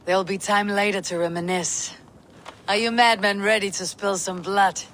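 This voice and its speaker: A woman playing a battle-hardened Viking warrior in a video game, speaking in a husky, measured and wry way. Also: medium pitch, fairly even intonation, a bit bright, a little hiss or hum, close to an everyday voice. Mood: warm, rallying. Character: battle-hardened adult female Viking warrior, steadfast and dry. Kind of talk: dramatic video game acting.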